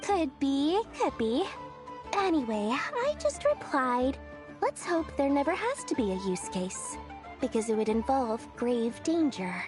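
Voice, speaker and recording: A shrewd young female merchant in a game story, high-pitched and very lively in her intonation. She sounds breezy and sure of herself, slipping past questions, with music playing behind her. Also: a little slow. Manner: breezy, self-assured, casually evasive. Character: shrewd, playful young businesswoman and wealthy merchant. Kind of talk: video game story dialogue acting.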